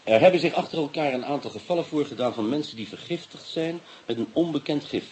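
Man doing a jovial police inspector, brisk, faint hiss, radio play. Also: on the high side, darkish tone, lightly acted, poor audio. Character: hearty, joking police inspector.

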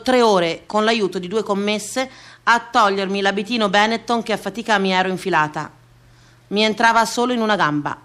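A woman's voice: medium pitch, a bit bright. A woman performing a comic confessional theatre monologue in a wry, conversational way.

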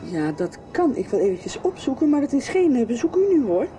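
An actress playing an officious but obliging hospital receptionist in a radio soap. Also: female voice, a little high, very animated, fairly quick, music in the background. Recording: distant mic, noisy background.

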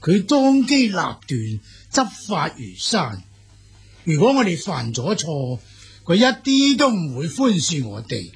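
A man with a Cantonese accent playing a smooth-talking, boastful military envoy in an audio drama, speaking formally and emphatically with a husky, gravelly voice. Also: fairly high pitch, wildly swooping pitch, heavily acted. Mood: stern warning. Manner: formal, emphatic, deliberate praise and veiled warnings.

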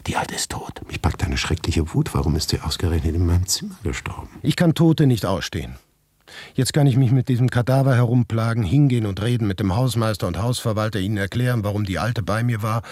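A man performing a first-person literary monologue for a radio play, intense and shifting between hushed and agitated delivery. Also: fairly low pitch, expressive, a little fast, no room sound.

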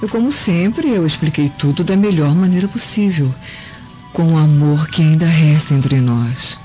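A woman's voice: low voice, normal speed, mellow, natural voice. A woman playing a sly, seductive Roman wife, silky and ironic, in a radio drama. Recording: muffled lo-fi, faint music.

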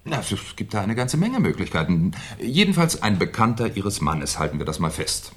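A very low-voiced, shrewd police commissioner in a 1970s radio crime play. He muses aloud with sly politeness, his husky delivery rising and falling in lively swings as he probes a suspect. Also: normal speed. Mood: musing, reasoning. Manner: musing, hesitant, slyly polite questioning. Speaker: detective in a crime drama. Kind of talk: 1970s German radio crime drama acting.

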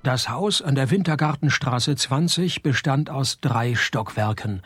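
An actor playing a jaded private detective in a radio play, with a dry, sardonic, deadpan delivery. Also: male voice, medium pitch, a little slow, soft background music. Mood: dry narration. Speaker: detective narrator and lead. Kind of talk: radio play narration and dialogue.

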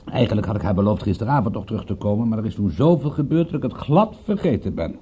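A man's voice: fairly low pitch, animated, fast talker, dark tone, slightly rough, dull audio. An actor playing a wry, teasing male private detective with dry banter in a radio drama. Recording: band-limited old radio play recording.

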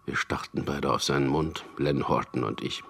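An actor playing a wry, weary propaganda copywriter in a German radio drama, speaking in a dry, ironic way. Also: male voice, a bass voice, moderate pace, a bit bright, a little hiss or hum, exaggerated voice. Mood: wry, resigned. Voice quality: husky, gravelly. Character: wry, weary male propaganda copywriter, narrating his story. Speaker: lead actor and first-person narrator.

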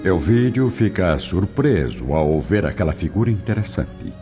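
A man's voice: low voice, big pitch swings, moderate pace. A man narrating a radio drama from a script in a solemn, dramatic style. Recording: dull audio, clear music behind.

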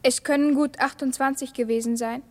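A woman's voice: sits high, fairly even intonation, fairly quick. An actress playing a young girl who narrates in a simple, lyrical way in a poetic radio drama. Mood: quiet, grave.

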